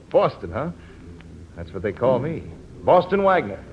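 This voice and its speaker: A man's voice: medium pitch, sing-song, unhurried, a little hiss or hum. A man playing an easygoing gold prospector with a folksy drawl in an old-time radio musical drama. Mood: breezy, friendly. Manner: drawling, folksy frontier talk. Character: easygoing young male gold prospector wandering west in covered wagon. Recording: dull 1950s radio broadcast, music behind.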